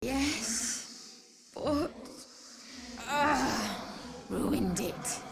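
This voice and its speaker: A woman's voice: high voice, animated, very slow, gravelly, noisy background, exaggerated voice. A woman playing a distraught young pregnant widow in a video game, emotional and pleading, earnest and defiant in her grief. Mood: weary, quiet.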